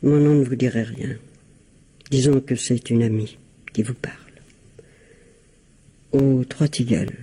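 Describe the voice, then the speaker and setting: A woman's voice: rumbling low, warm tone, slightly rough, heavily acted. An actress playing a scheming, falsely kind middle-aged lady in a vintage radio mystery, poised and bossy with an insinuating, motherly manner. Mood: cool, secretive.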